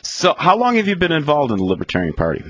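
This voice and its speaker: A man's voice: on the high side, wildly swooping pitch, quick pace, a bit bright. A man hosting a radio talk show, asking blunt, probing questions of his guest. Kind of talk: radio interview.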